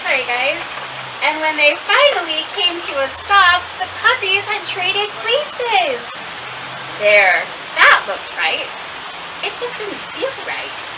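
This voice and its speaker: A woman reading a picture book aloud to young children as a library storyteller, with expressive, animated delivery. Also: extremely high pitch, slow pace. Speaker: library story-time reader. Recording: distant mic with loud steady hiss.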